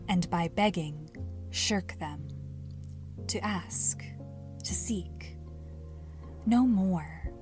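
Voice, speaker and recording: A woman delivers a dramatic soliloquy in a solemn, Shakespearean style. She speaks very slowly in a slightly low voice, with breathy phrase endings. Music plays clearly behind her. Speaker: listener reading own submission.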